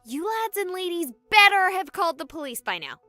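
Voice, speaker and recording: A woman's voice: very high-pitched, big pitch swings, a little fast, sharp tone, dry recording, faint music behind, exaggerated voice. An actress voicing a skeptical, exasperated young female game streamer in a visual novel. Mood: frustrated.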